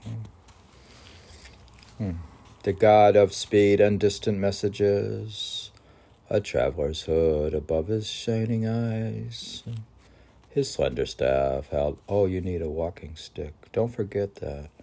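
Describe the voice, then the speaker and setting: A man's voice: deep voice, slow pace, gravelly, dark tone. A man reading poetry aloud and drifting into casual, musing asides in a conversational way. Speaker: poetry reader.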